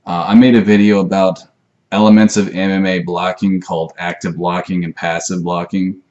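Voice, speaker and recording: Very deep-voiced man, hesitant and rambling. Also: level tone, moderate pace, a little mellow, lo-fi sound. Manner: hesitant, self-deprecating. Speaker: amateur martial arts video maker. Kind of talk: rambling informal tutorial to camera. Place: messy room at home.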